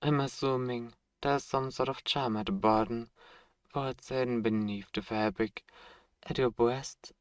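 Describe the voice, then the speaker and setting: A man playing a suave, possessive vampire in an ASMR roleplay, courtly and seductive with teasing menace and dramatic pauses. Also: medium pitch, fairly even intonation, clear, resonant tone, dry recording, studio quality, lightly acted. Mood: curious, covetous. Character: suave, sadistic, possessive male vampire host.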